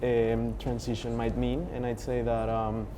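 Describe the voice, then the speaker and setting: A man's voice: mid-range voice, completely flat delivery, unhurried, mellow, a little hiss or hum. A young man from Honduras speaking as a panelist, earnest and methodical with many for-instances. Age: young adult. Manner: earnest, building points with for instance. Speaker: panelist, youth organization founder. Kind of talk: panel discussion.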